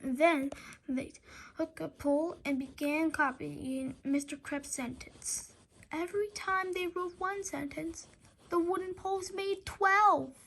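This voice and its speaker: Female storybook reader, very high voice, unhurried pace, occasional stumbles and rereads. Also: fairly even intonation, fairly bright tone. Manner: stumbles over words and rereads. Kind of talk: children's book read aloud.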